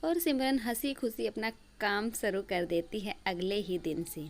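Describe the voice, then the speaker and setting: A woman's voice: mid-range voice, measured pace. A woman storyteller narrating a simple moral tale for a cartoon.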